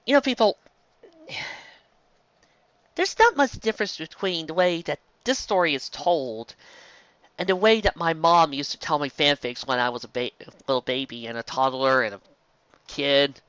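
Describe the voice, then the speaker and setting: A woman's voice: low voice, lively intonation, moderate pace, long pauses, fairly bright tone, no echo, muffled recording. A woman reviewer reading fan fiction aloud with comic asides, theatrical and exclamatory.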